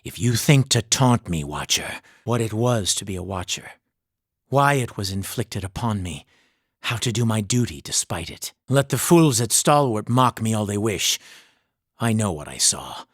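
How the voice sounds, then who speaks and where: An actor playing a proud, devout and haunted mercenary commander in a fantasy video game, speaking in a grave, formal, accusatory manner. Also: male voice, fairly low pitch, big pitch swings, moderate pace, no echo, studio quality. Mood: proud, scornful. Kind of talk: dramatic fantasy game voice acting.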